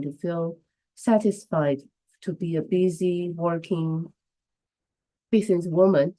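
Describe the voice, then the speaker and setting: A woman whose first language is Chinese hosts a casual live stream, her voice strained by the flu. Her tone is dark and mellow, pitched slightly low, and she chats loosely, restarting phrases and greeting viewers by name as they arrive. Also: rough recording. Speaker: livestream host.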